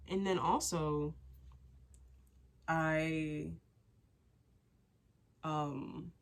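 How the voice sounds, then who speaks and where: Low-voiced young woman with a cold. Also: steady tone, drawn-out words, gravelly. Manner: rambling and candid, many fillers and restarts. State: stuffy from a cold, sniffling. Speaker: vlogger. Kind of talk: casual chat to camera.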